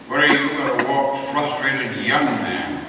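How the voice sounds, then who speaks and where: A man's voice: mid-range voice, natural voice. A man playing a cold, mocking old banker in a live stage radio play, recorded from far off in an echoey, noisy hall. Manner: sneering, slow, contemptuous.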